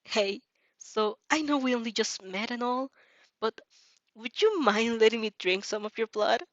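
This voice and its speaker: A woman voicing a friendly, earnest young female vampire in a story read-aloud, chatty and expressive. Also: on the high side, moderate pace, no room sound, dull audio, lightly acted. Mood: sheepish, weakened.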